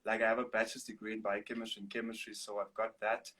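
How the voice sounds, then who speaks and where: Relaxed man from Namibia, Afrikaans native. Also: on the low side, quick pace, breathy edge. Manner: relaxed, rambling with restarts and laughs. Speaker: guest, singer-songwriter. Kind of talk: casual video-call interview.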